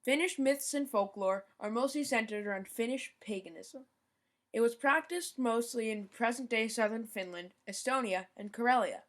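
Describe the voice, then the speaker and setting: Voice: medium pitch, normal speed, fairly bright tone, faint music behind. A young podcast host giving a scripted informational rundown, now and then stumbling over foreign names and apologizing.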